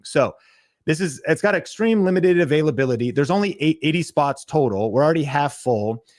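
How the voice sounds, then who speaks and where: Energetic man pitching to viewers. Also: no room sound, clean studio sound. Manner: energetic, salesy, direct to viewers. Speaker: podcast host. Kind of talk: livestream pitch and chat.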